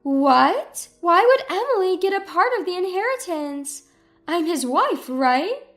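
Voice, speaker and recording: A woman voicing a spiteful, self-centered older mother-in-law in a narrated family story, with a shrill, indignant manner. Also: big pitch swings, measured pace, a bit bright, faint music behind, exaggerated voice.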